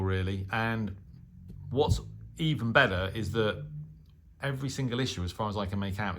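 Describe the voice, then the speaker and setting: A middle-aged man with a British accent speaks in a fairly low voice. He hosts a hobby video in a casual, unscripted style. His delivery is matter-of-fact and hesitant, rambling as he finds his words. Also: a bit bright. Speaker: shortwave radio hobbyist and video host.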